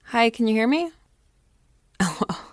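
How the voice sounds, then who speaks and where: A woman playing an excitable, self-important teenage girl who rambles dramatically through a confessional audio diary. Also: fairly high pitch, takes it slowly, no room sound, studio quality. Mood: chatty, self-important. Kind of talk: confessional audio diary monologue, naturalistic acting.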